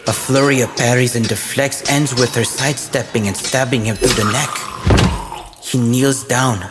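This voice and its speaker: An actor playing a team mechanic who narrates an action adventure in the first person. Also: male voice, medium pitch, steady tone, normal speed, close to an everyday voice. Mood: tense narrating. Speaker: character narrator. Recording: loud music bed. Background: loud music.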